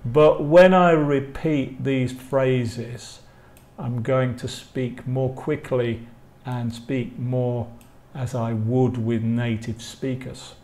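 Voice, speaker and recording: A man's voice: mid-range voice, steady tone, takes it slowly, a little mellow. A man with an English accent teaching a language lesson, enunciating carefully and repeating phrases. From England. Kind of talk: live online language lesson, reading model questions and answers.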